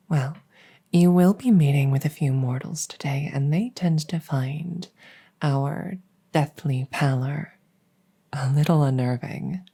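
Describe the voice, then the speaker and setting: Woman as a breathy, devoted vampire maid. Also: sits low, exaggerated voice. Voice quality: breathy, often whispered. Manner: deferential, gentle, formal. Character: devoted, adoring centuries-old vampire maid to royalty. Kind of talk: soft-spoken roleplay comfort ASMR.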